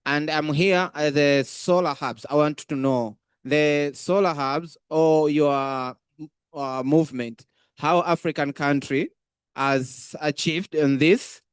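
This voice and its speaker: A man's voice: fairly high pitch, steady tone, slow pace, smooth, no echo, studio quality. A young man with a Tanzanian accent putting an earnest, slightly hesitant question from the floor in non-native English. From Zanzibar, Tanzania. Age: young adult. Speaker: audience member asking a question.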